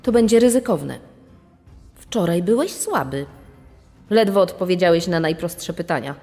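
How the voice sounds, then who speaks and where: An actress playing a calculating, cautious wife who gives stern instructions in a dramatized true-crime podcast. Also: female voice, lowish voice, lively intonation, a little slow, warm tone. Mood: cold, warning. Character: calculating, cautious wife scheming a quiz fraud. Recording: long reverb, music underneath.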